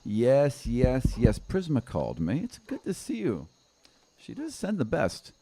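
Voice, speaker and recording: A man's voice: medium pitch, wildly swooping pitch, unhurried, warm tone, slightly rough, voice pushed up, heavily acted. A man voicing a courteous woman who greets guests at a tavern door in a tabletop game. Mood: welcoming.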